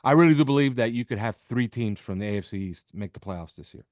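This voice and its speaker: A man's voice: mid-range voice, expressive, fast talker, a little mellow, dry recording. A man with a mid-range voice breaks down football as a podcast guest, unscripted and emphatic. He punctuates his points with short check questions. The sound is dry and close, with very little room on it. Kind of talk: unscripted sports analysis.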